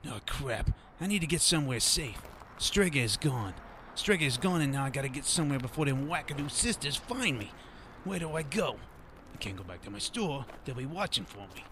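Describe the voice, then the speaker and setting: An actor playing a nervous, shifty streetwise informant on the run in a radio drama. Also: male voice, mid-range voice, expressive, a little fast, noisy background, natural voice. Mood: anxious. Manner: jittery, streetwise. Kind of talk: radio drama monologue and dialogue.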